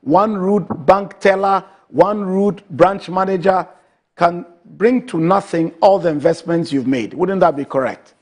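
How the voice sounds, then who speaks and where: A man's voice: sits high, unhurried, a little mellow. A man with a Ghanaian English accent hosting a TV interview, asking questions in a formal, emphatic manner. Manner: formal, emphatic, addresses guest as madam. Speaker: TV show host.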